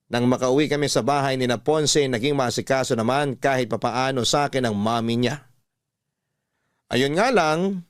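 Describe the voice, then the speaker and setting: A man's voice: mid-range voice, no echo, studio quality. A man narrating a scripted first-person story as a radio storyteller, with heartfelt delivery.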